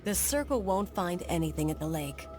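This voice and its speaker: A bold, skeptical young heroine in a game cutscene. Her brisk delivery moves widely in pitch, and soft music plays underneath. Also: very animated. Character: confident, skeptical young adventurer heroine and businesswoman. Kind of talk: video game cutscene acting.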